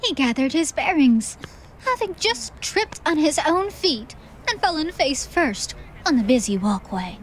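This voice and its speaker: A woman's voice: high-pitched, big pitch swings, measured pace, a little hiss or hum, poor audio. A woman narrating a fantasy audiobook in a scripted, theatrical storytelling style. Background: soft music.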